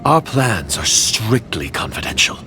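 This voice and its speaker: A stern, distrustful conspirator in a game drama, with a lowish voice and very expressive intonation. He speaks formally and with cold disdain, over clearly audible music. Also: exaggerated voice. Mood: suspicious. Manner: formal, measured, suspicious. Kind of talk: video game dialogue acting.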